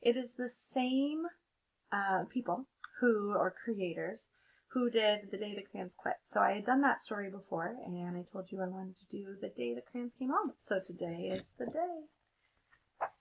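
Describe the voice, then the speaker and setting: A woman's voice: medium pitch, a little slow, vocal fry, slightly rough, muffled recording. A woman reading a picture book aloud as storyteller, with casual asides.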